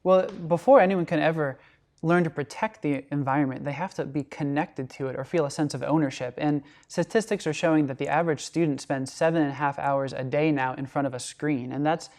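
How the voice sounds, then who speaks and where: A man who leads an outdoor youth program, answering interview questions in an earnest, measured way and often qualifying what he says. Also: fairly high pitch, fairly even intonation, a little mellow.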